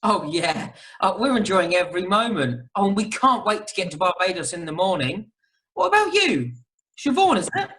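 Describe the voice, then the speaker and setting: A woman's voice: mid-range voice, big pitch swings, moderate pace, fairly bright tone, low-quality recording. A woman playing an eager, well-spoken newlywed husband in an amateur radio comedy.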